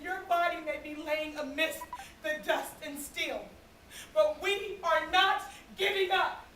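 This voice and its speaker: A woman performing a solemn dramatic monologue on stage, deliberate and with dramatic pauses. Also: very high-pitched, steady tone, pushed and bright. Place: theatre stage, recorded from the audience. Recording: echoey hall from far off, faint noise.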